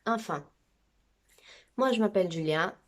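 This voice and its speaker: A Brazilian woman in her twenties with a Brazilian Portuguese accent, casually teaching a beginner language lesson and repeating sounds slowly to show them. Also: medium pitch. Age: 20s.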